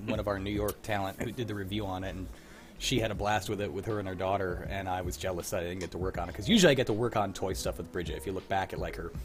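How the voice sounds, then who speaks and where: Casual man hosting over clear background noise. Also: sits low, steady tone, racing. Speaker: host of a livestream script-reading show. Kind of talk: casual chat.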